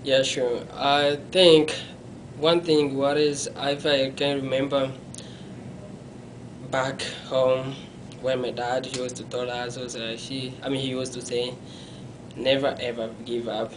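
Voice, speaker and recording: A young man, telling his life story in an interview in a small room, halting and often correcting himself. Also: mid-range voice, level tone, background noise. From Congo. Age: young adult. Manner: halting, self-correcting, non-native phrasing; gestures with his hands while talking.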